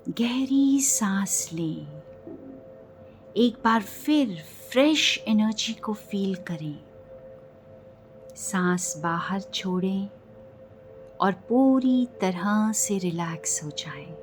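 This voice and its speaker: An Indian woman leads a guided meditation at an extremely slow pace. She speaks gently in a medium-pitched voice and leaves long pauses between phrases. Faint music plays behind her. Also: lively intonation.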